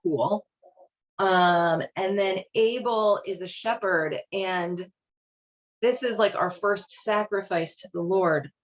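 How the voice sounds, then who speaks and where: A woman's voice: mid-range voice, slow pace, highs cut off. A woman hosting a casual read-along and commentary, speaking in an informal, wry, irreverent way. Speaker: host reading and commenting on a children's Bible.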